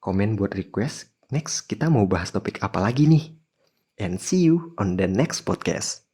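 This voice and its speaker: A man's voice: fairly low pitch, lively intonation, a little slow, darkish tone, slightly rough. A man presenting a scripted podcast in a chatty way, speaking straight to listeners. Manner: chatty, addresses listeners directly. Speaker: podcast host.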